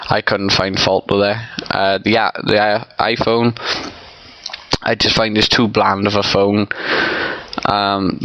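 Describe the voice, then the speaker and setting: A man's voice: on the low side, bright, forceful tone, smooth, no echo, rough recording. A young man with a stuffy, congested nose, rambling casually in a vlog with lots of ums and you-knows. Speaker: vlogger. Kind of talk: casual unscripted opinion vlog.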